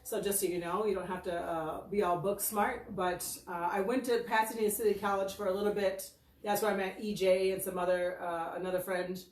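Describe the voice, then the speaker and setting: A woman in her fifties with an American accent speaks at a middling pitch. She tells personal stories in a loose, rambling, self-deprecating way, as if on a casual livestream. The sound is roomy and a little distant from the microphone. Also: fairly even intonation, brisk. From Michigan, United States. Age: 50s. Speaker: host of her birthday livestream. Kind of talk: casual livestream storytelling and question answering. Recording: roomy echo, distant from mic.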